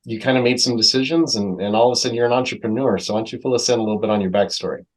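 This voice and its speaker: A man hosting a podcast interview, upbeat and halting, often searching for words. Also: medium pitch, poor audio. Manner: halting, many fillers and restarts, enthusiastic. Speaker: podcast host.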